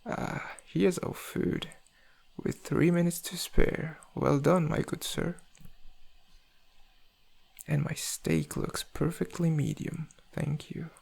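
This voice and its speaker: Smug, seductive male criminal played by a man for ASMR roleplay; husky, slightly higher-set voice, intimate drawl with menace underneath. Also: steady tone, slow pace, darkish tone, heavily acted. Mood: smug, commanding. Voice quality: gravelly, husky. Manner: intimate, teasing, drawling, often dropping to breathy murmurs. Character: suave, menacing male thief, flirtatious and smug.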